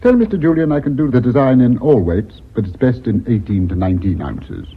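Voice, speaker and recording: A male actor playing a cheerful, eccentric old designer with a husky, theatrical voice. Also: medium pitch, lively intonation, a little fast, a little hiss or hum, close to an everyday voice. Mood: cheery. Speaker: actor in a radio play. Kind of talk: British radio drama acting. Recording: thin, phone-like radio recording.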